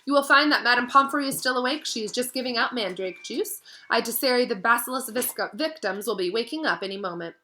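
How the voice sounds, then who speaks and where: A woman voicing a kindly old male wizard headmaster in a storybook, speaking softly, thoughtfully and with measure. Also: on the high side, lively intonation, a little fast, few pauses, a little hiss or hum, pitched above the performer's own voice. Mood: firm, kindly. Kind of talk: audiobook-style character reading. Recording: music behind the voice.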